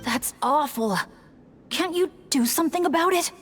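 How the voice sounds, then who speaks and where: A woman's voice: high voice, normal speed, big-room sound, faint music behind, close to an everyday voice. A woman voicing an earnest young adventurer asking direct questions in a game cutscene. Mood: concerned.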